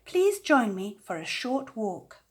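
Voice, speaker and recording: A woman voicing a bold, eager little witch girl in an expressive, earnest storybook read-aloud. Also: fairly high pitch. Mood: pleased, announcing. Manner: deliberate, expressive storybook delivery.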